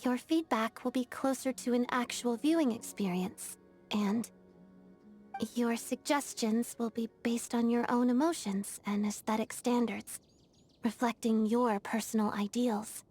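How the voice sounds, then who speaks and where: A woman voicing an earnest, disciplined young ballet dancer in a video game, speaking in a composed, formal and measured way. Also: fairly high pitch, a little mellow, faint music behind, close to an everyday voice. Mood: earnest, polite. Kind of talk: video game character voice acting.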